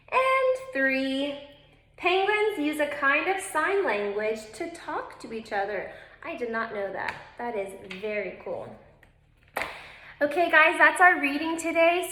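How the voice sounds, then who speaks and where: Slow, high-voiced woman reading to children. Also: a bit bright. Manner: instructive, addresses young listeners directly. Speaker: elementary teacher reading aloud to children. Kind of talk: children's read-aloud with informal asides. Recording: roomy, echoing indoor sound.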